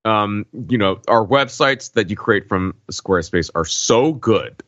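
A man co-hosting a podcast in casual chat, halting and tongue-in-cheek as he makes his points. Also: sits low, fairly bright tone, dry recording, highs cut off. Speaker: podcast co-host.

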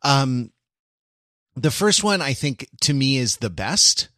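A man co-hosting a podcast, chatting casually in a thoughtful, halting way as he works out his phrasing. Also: medium pitch, big pitch swings, bright, forceful tone, no echo, clean studio sound. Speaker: podcast co-host. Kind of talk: casual film chat.

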